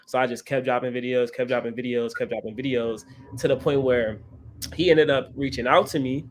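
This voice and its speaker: A man's voice: medium pitch, steady tone, fairly quick, slight background noise. A man in his twenties telling his story casually as an interview guest, often checking in with a tag question. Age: 20s. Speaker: guest, credit content creator.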